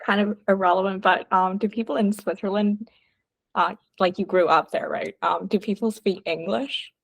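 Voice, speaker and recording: A woman's voice: medium pitch, fairly even intonation, moderate pace, vocal fry, no echo. A woman hosting a podcast, asking questions in a casual, chatty way with lots of fillers. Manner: chatty, eager, many fillers like "like" and "um". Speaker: podcast host. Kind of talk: casual interview chat.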